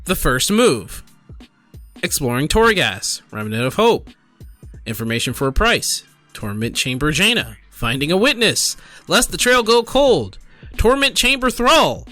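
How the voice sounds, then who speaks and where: Animated, high-pitched man, bright voice. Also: measured pace, faint music behind. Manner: exasperated, incredulous, emphatic with repetition. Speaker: gaming streamer and host. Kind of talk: casual commentary reading forum posts aloud.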